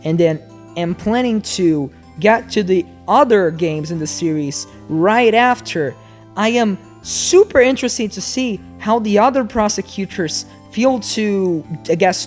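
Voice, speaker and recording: A woman giving casual, informal video essay commentary on games, speaking in an animated, emphatic way. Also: medium pitch.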